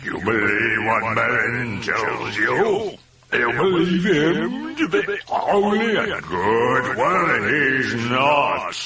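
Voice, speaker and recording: A man voicing a cruel, gloating male demon in an audiobook, with a gravelly, growling voice. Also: mid-range voice, animated, extremely slow, distant mic, a loud crowd. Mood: taunting.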